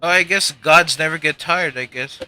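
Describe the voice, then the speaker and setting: A man's voice: a little high, moderate pace, slight background noise. A man chatting casually as he reacts to the video game he is livestreaming. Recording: loud game music behind voice. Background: video game music.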